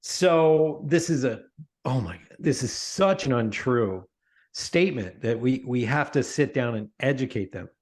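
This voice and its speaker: A middle-aged man talking as a guest in a casual interview, emphatic and animated, often restarting his sentences. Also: mid-range voice, a little slow. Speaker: podcast guest, author.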